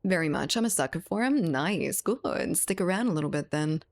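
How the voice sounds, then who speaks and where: Woman game streamer, slightly low voice, chatty and laughing, close desk mic. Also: animated, moderate pace. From South Louisiana, US. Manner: chatty, laughs often, reads and answers chat. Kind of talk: casual live-stream chat while gaming.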